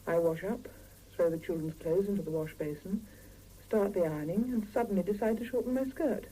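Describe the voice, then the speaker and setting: A woman's voice: lowish voice, fairly quick, dark tone, natural voice, low-quality recording. An actress playing a weary, exasperated Soviet working mother with dry, sardonic delivery in a 1970s radio drama. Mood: weary, reflective. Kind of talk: 1970s radio drama, dialogue and intimate first-person narration. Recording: hissy 1975 radio drama cassette, mono.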